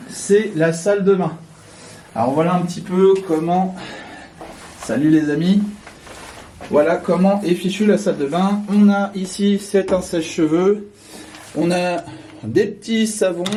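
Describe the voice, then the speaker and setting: Man, friendly vlogger giving a casual hotel walkthrough, slightly high voice, faint noise behind. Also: warm tone. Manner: chatty, friendly, many filler sounds. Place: indoors in a hotel, walking through corridors and a room.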